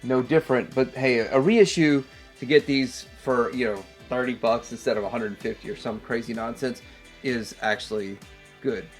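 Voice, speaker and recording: A man's voice: mid-range voice, normal speed. Casual man reviewing toys over music. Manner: rambling, thinking aloud as he handles things. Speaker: toy review channel host. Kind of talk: casual hands-on product review.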